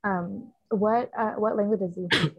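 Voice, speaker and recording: A woman hosting a casual podcast who leads the talk with curious questions. Her voice is husky with a light gravelly fry, and it sounds dry and close. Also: mid-range voice, steady tone, moderate pace, no echo, dull audio. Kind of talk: casual interview chat.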